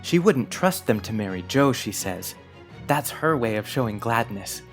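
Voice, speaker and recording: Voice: medium pitch, animated, moderate pace, close to an everyday voice. Earnest, politely old-fashioned little boy acted out. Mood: happy, earnest. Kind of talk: dramatized audiobook acting. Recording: music under the voice.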